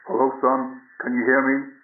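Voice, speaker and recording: A man's voice: mid-range voice, monotone, moderate pace. An actor playing a fatherly American general speaking over a radio link. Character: fatherly male American general at mission control. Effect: radio filter. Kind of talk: radio transmission acting. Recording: tinny, echoey, faintly noisy radio link.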